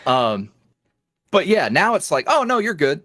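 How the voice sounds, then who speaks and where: A man chatting casually as a podcast guest, his voice swinging up and down in an animated, lively way. He laughs often. The sound is dry and close, with no room echo. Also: a little high, measured pace. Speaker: guest, ASMR roleplay creator.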